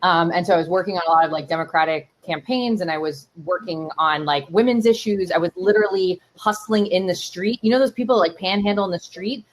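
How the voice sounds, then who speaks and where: A woman in her thirties from Miami whose first language is Spanish, telling her story in a casual interview with lots of fillers and animated asides. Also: on the low side, fast talker, hardly any pauses. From Miami, Florida. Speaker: startup CEO and keynote guest. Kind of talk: conversational interview.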